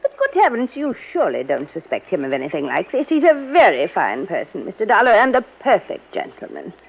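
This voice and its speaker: A theatrical widow who was once a chorus girl in a 1950s radio drama, her voice gravelly and swinging wildly up and down in pitch. She flutters and protests with dramatic flair. The sound is dull and muffled, like an old broadcast. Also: wildly swooping pitch, a little fast, a little hiss or hum. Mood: fluttery. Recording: dull vintage radio recording.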